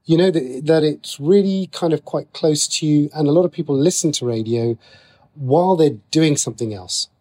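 A man's voice: fairly high pitch, moderate pace, mellow. Chatty British man being interviewed. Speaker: writer interviewed as guest. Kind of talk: conversational interview.

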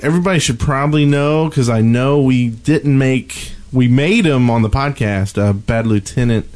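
A man with a medium-pitched voice co-hosts a podcast. He talks casually and off the cuff, as in a chat among friends. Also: normal speed, a little mellow. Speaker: podcast co-host.

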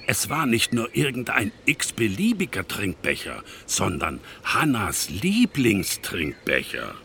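An older actor playing a kindly, absent-minded grandfather in a children's audio play, with a gentle, doddery manner. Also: fairly low pitch, huge pitch swings, takes it slowly, vocal fry, a little hiss or hum, heavily acted. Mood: kindly, scatterbrained.

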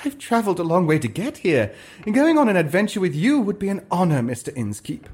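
Man playing an eager high-pitched adventuring actor. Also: very animated, a little fast, darkish tone, husky, full character voice. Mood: eager, hopeful. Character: eager, fawning, nervous male actor turned adventurer.

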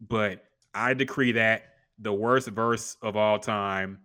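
A man's voice: on the low side, fairly even intonation, takes it slowly, bright, forceful tone. A man hosting a casual chat, ranting bluntly and profanely about an old rap verse. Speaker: podcast host.

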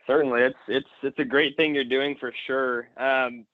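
A man's voice: medium pitch, fairly even intonation, normal speed, no room sound. A man hosting a casual sports podcast interview, asking wordy questions in a hesitant way. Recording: dull, lo-fi, dry, music behind.

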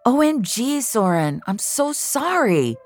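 A woman playing a blunt, sweary, emotional young gamer in an audio drama, with slangy, expressive delivery. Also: a little high, takes it slowly, a little hiss or hum, voice pushed up. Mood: shocked concern.

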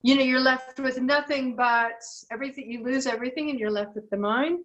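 A woman from Detroit calls in with a question during an online meeting. Her voice sits fairly high, and she speaks haltingly, restarting and searching for her words. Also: fairly even intonation, a bit bright, lo-fi sound. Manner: hesitant, halting, many fillers. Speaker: caller asking a question. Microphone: video call.

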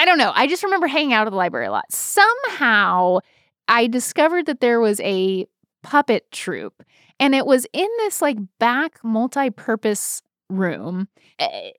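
Female co-host, higher-pitched, casual and self-deprecating storyteller, dry studio-like sound. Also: fairly bright tone, no room sound. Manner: rambling, self-deprecating, informal. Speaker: podcast co-host. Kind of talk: casual chat, personal storytelling.

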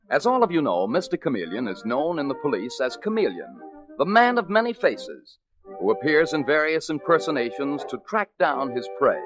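A man announcing and narrating in a scripted 1940s radio drama style. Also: on the high side. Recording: old radio broadcast with music. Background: music.

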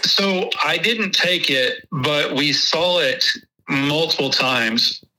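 A man with a Texas accent, a guest chatting casually about a hunting trip, laughing often and pausing with ums. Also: a little high, sharp tone, a little hiss or hum, poor audio. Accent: Texan. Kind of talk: casual interview chat.

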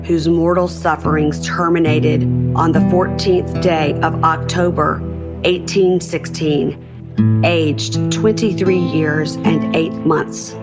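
A woman with a lowish voice reads solemnly and very slowly. Loud plucked guitar music plays along with her. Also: steady tone. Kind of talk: slow solemn reading of an old inscription.

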